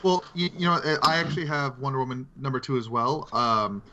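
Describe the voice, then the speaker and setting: A man's voice: medium pitch, a little fast, crowd noise. A man co-hosting a podcast in casual conversation, recorded on slightly dull, lo-fi audio. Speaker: podcast co-host. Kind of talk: casual movie-discussion chat.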